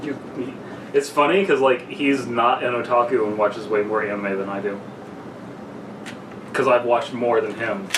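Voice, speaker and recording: A man's voice: medium pitch, steady tone, a little slow, far from the mic. A young man co-hosting a podcast, chatting casually and reading aloud with asides. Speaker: podcast co-host. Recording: echoey room, off-mic, clear background noise.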